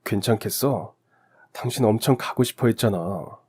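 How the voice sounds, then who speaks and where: Guy reading supportive newlywed husband, calm, caring, mellow voice, radio story. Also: lowish voice, moderate pace, slightly rough. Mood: concerned.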